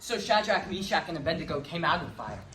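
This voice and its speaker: A man's voice: on the high side, sing-song, fast, sharp tone. A man narrating a dramatized Bible recitation with emphatic delivery. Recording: echoey hall, faint noise.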